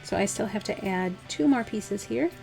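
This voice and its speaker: A woman with a slightly hoarse, dry voice, casually talking through a DIY craft project step by step. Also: medium pitch, normal speed, soft background music. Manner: relaxed, chatty, step-by-step instructions with fillers. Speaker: craft vlogger. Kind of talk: casual DIY tutorial voice-over.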